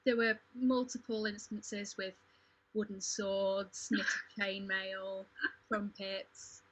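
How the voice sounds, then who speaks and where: A woman chatting on a panel, hesitant and gently teasing. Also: mid-range voice, little pitch movement, slow, rough recording. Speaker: cast member on a panel. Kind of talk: casual panel chat.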